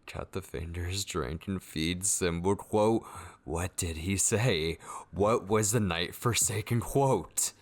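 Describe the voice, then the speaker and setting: A sinister, possessive male tormentor acted by a man speaks in a very deep voice full of gravel. He goes very slowly through an intimate horror ASMR monologue, coaxing and mocking with false tenderness, often sinking to a whisper. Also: exaggerated voice. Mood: urgent, straining. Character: sinister, possessive, taunting male voice haunting a captive vampire.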